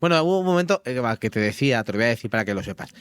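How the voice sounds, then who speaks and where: A man's voice: medium pitch, animated, racing, smooth, dry recording. A man with a Castilian Spanish accent moderating a casual interview in an informal, rambling, bantering way. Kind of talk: casual unscripted chat.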